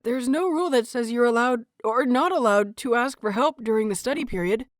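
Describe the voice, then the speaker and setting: A woman voicing a flustered, eager young male disciple in an audiobook story, stammering and rushing in a strained way. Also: fairly high pitch, dry recording, studio quality, voice pushed up, close to an everyday voice. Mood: strained, defensive. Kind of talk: dramatised audiobook reading.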